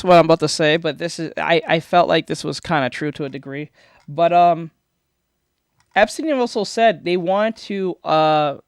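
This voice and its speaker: A man's voice: fairly high pitch, smooth, dry recording, studio quality. A man streaming casual reaction commentary, rambling and opinionated with lots of swearing and restarts. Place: foam-treated home studio. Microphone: studio microphone.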